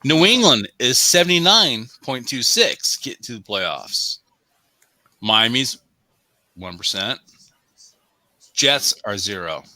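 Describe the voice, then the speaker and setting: A man's voice: medium pitch, very animated, takes it slowly, long pauses, pushed and bright, dry recording. A man on a sports show panel chatting casually about football, often repeating himself for emphasis.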